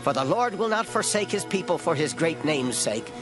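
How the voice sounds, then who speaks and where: An actor playing an old, gray-headed prophet, solemn, stern and declamatory, in a dramatized scripture reading. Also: male voice, on the high side, big pitch swings, moderate pace, over music. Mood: solemn, reassuring. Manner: declamatory, grave.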